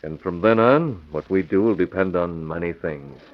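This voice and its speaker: A man's voice: low-pitched, moderate pace, slight background noise, lightly acted. A man playing a commanding, shrewd masked crime-fighter in clipped, decisive old-time radio acting. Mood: calm, decisive. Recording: dull, lo-fi old radio recording.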